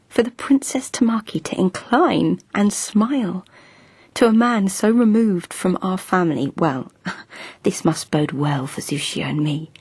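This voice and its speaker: Komatsu, a breathy lovestruck young noblewoman. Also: mid-range voice, darkish tone, no echo. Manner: breathless, whispery, eager. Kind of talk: radio drama acting.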